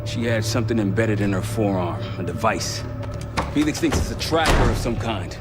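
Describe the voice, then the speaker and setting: Man acting a weary, resolute CIA operative over loud music. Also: lowish voice, moderate pace, close to an everyday voice. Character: weary, resolute male CIA field operative leading a team. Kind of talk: cinematic game acting. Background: loud cinematic music.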